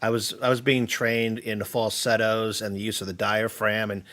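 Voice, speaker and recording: A man's voice: fairly low pitch, little pitch movement, normal speed, a bit bright. A man hosting a live gaming stream, joking around casually and running a giveaway. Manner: easygoing, joking, runs giveaways. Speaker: host. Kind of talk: casual chat.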